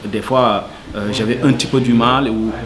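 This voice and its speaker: A man's voice: mid-range voice, steady tone, normal speed, crowd noise. A man from Senegal, answering interview questions earnestly and hesitantly, full of fillers. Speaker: cook, interviewee.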